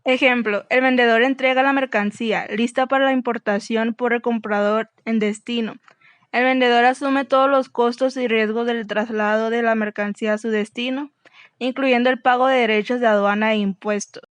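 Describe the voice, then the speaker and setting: A woman presents prepared explanatory material in a smooth, crisp voice. She is slightly high in pitch, and the sound is dry with no room to it. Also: fairly even intonation, normal speed, no room sound. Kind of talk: scripted explanatory narration.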